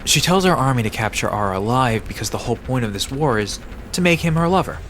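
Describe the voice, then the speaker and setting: A man's voice: mid-range voice, animated, quick pace, fairly bright tone, natural voice. A man playing a friendly park guide who explains myths conversationally in an audio drama. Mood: storytelling. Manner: explanatory storytelling. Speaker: storyteller guide. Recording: background noise with outdoor ambience.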